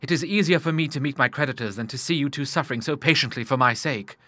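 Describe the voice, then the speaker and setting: A man's voice: mid-range voice, fast, pushed and bright, dry recording. Man plays a troubled young city man, earnest and formal, dry close-miked read.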